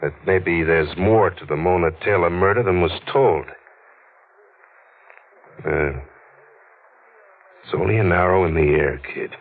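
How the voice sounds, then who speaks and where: A man's voice: low-pitched, a little slow, pauses often. A man with an American accent playing a wry, stubborn newspaper reporter who narrates in slangy 1940s radio noir style. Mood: wry, frank. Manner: slangy, sardonic. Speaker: lead actor and narrator. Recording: tinny, noisy old radio broadcast.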